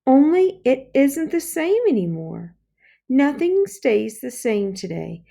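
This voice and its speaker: A woman's voice: high voice, sing-song, slow, creaky, dark tone, voice pushed up, exaggerated voice. A woman voicing an earnest, aggrieved young schoolboy in a children's storybook, pleading and insistent.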